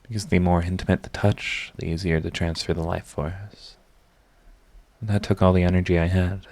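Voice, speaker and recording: A man's voice: sits low, flat delivery, normal speed, darkish tone. A man playing a proud villain who is secretly devoted to his rival, in an intimate, emotional audio roleplay. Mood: somber, confessing. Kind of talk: dramatic ASMR audio roleplay.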